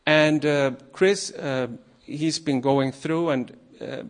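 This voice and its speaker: Slow, halting man from Iceland preaching. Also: fairly high pitch, steady tone. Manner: halting, many ums and uhs, nervous. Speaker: guest preacher. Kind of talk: sermon to a congregation.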